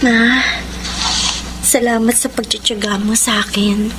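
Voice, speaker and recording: An actress with a Filipino accent playing a traumatized young daughter in a radio drama, with weighted, emotional delivery. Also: female voice, fairly high pitch, steady tone, a little slow, noisy background, close to an everyday voice. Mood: calm, grateful. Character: traumatized young daughter, bitter then healing.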